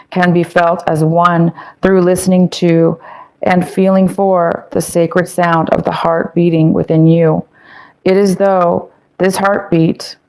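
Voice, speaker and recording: A woman with a fairly low voice talks her viewers through a tarot spread in a loose, meandering way, stumbling and restarting often. Her voice sounds worn, as if she is losing it. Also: a little mellow, low-quality recording. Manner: meandering, many fillers and self-corrections. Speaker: tarot reader. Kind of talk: casual tarot reading to viewers.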